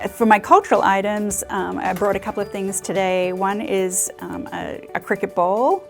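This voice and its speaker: A woman's voice: mid-range voice, fairly even intonation, moderate pace, creaky, faint music behind. A woman from Australia who coordinates historic preservation, telling her citizenship story conversationally with many fillers. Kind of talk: personal story interview.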